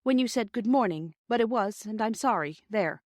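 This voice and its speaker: A woman's voice: medium pitch, animated, normal speed, no room sound, clean studio sound, pitched above the performer's own voice, natural voice. A woman voicing a proud, earnest and impatient young schoolboy in a storybook, with a boyish, blurting manner. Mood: anxious, apologetic.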